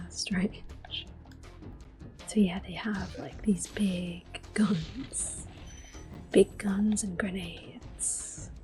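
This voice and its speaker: Softly whispering, mellow woman gamer. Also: mid-range voice. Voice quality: soft, breathy whisper. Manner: gentle, unhurried, hushed. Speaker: ASMR gameplay host. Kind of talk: ASMR game commentary.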